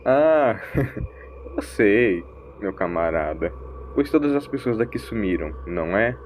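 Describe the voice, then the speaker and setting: A man's voice: on the low side, lively intonation, slow, a little hiss or hum. A man voicing a mysterious, eccentric vagabond in an audio story, drawling slangy words in a theatrical, teasing way. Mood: sly, amused. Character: mysterious eccentric wandering vagabond, teasing, preachy, ancient but young-sounding.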